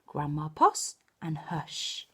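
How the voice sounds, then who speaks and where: A woman reading a picture book to children like a primary teacher, expressive and exclaiming, with chatty asides. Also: low-pitched, takes it slowly, husky. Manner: expressive, exclaiming, with gasps and hushed dramatic moments.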